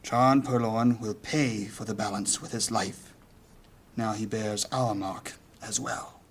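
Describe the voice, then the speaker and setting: A man playing a firm, weighty leader of a mage guild in a fantasy audio drama, formal and deliberate. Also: on the low side, fairly even intonation, a little hiss or hum. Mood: resolute.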